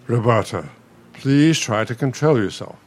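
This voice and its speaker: An actor with an American accent playing a grumpy, needling older husband in a radio play, with a dry, sardonic manner. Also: male voice, mid-range voice, expressive, measured pace. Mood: testy.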